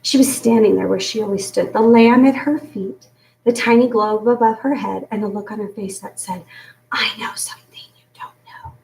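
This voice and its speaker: Woman doing expressive kids book read-aloud, medium pitch, echoey room, bit far from mic. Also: normal speed, creaky. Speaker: story reader. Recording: roomy echo, distant from mic.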